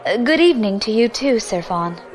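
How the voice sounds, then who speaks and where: A woman's voice: on the high side, animated, a little fast, music in the background, close to an everyday voice. A woman playing a plucky, friendly young adventurer in an audio drama, with a casual, informal manner. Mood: polite.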